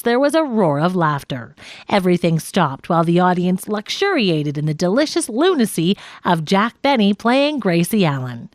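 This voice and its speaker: A woman's voice: mid-range voice, no echo, studio quality. A woman reading a nonfiction audiobook as scripted narration.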